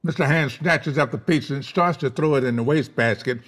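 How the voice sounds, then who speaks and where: A man's voice: medium pitch, fairly quick, fairly bright tone. Man narrating screenplay stage directions. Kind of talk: scripted narration at a live table read.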